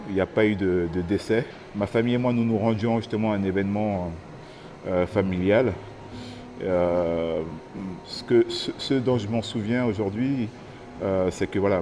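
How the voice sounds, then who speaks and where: A man in his thirties from French Guiana, telling his own story haltingly, with many fillers and restarts. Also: low-pitched. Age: 30s. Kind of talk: personal testimony to camera. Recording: music playing under the voice.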